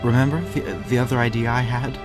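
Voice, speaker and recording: A man's voice: mid-range voice, flat delivery, fast, close to an everyday voice. A man playing a stubborn, evasive character in an audio drama, with a hesitant, defensive manner. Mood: sheepish, pleading. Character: stubborn, evasive man obsessed with his projects. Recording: music behind the voice.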